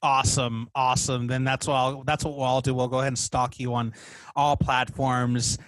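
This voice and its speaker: A man's voice: mid-range voice, little pitch movement, moderate pace, poor audio. A man hosting a podcast in a casual, chatty, informal way, often repeating his phrases. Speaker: podcast host.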